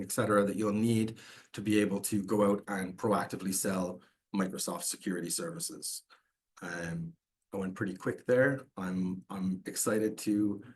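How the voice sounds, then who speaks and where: A man with a fairly low voice gives a vendor pitch over a video call. He moves quickly and fills his pauses with "um.". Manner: quick, filler-laden pitching. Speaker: vendor presenter. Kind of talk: pitch presentation.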